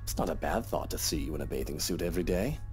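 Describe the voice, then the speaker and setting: An actor playing a wry, teasing upper-class husband in a visual novel, speaking casually. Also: male voice, a bass voice, animated, fast talker, darkish tone. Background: faint music.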